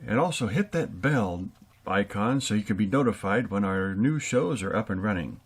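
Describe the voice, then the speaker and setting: A man's voice: on the low side, expressive, normal speed, husky. A man hosting and announcing for a radio theater show. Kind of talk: announcing credits and thanks.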